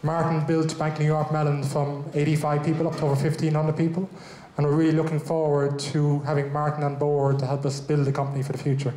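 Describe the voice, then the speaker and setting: A man's voice: fairly high pitch, monotone, fast, mellow. Irish guy hosting a tech meetup on a handheld mic, lots of ums and restarts, echoey room. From Ireland. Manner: upbeat, loose, with frequent fillers and restarts. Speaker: event host, head of technology. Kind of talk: welcome speech at a tech meetup. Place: large event room with a small stage.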